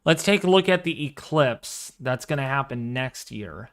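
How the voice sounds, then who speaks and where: A man in his twenties hosting a casual livestream, talking through an astronomy program with excitable exclamations as he thinks aloud. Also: mid-range voice, unhurried. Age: 20s. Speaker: livestream host.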